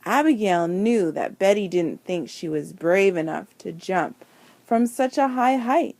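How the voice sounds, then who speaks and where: A woman reading a children's picture book aloud with deliberate, dramatic phrasing and emphasised words. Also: medium pitch, lively intonation, creaky, a little mellow, no room sound. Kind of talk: expressive children's storybook read-aloud.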